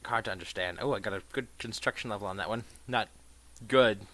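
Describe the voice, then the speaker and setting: A young man giving a casual let's-play commentary, crude and rambling, with self-mocking jokes and sudden loud outbursts. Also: on the low side, a little fast. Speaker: gaming commentator. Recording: game music loud underneath. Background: electronic dubstep game music.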